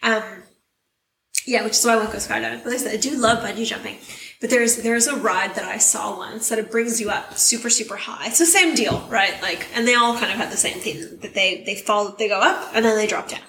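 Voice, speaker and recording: A woman co-hosting a podcast, losing her voice, telling stories in a chatty, animated way. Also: on the high side, a little fast, vocal fry, slight background noise. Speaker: podcast co-host. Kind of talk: casual chat. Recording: echoey room.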